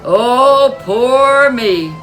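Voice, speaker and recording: Storybook figure: a whining, lazy grown man, as a woman reader puts him on, loud and lively. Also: high voice, extremely slow, faint music behind, voice pushed up, exaggerated voice, poor audio. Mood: wailing. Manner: whiny, complaining.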